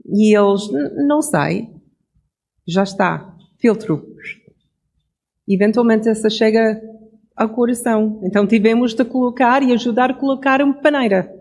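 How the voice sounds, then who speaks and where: Measured devotional speaker. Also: mid-range voice, darkish tone, husky. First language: English. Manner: reflective, measured, with small self-corrections. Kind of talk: Bible devotional message.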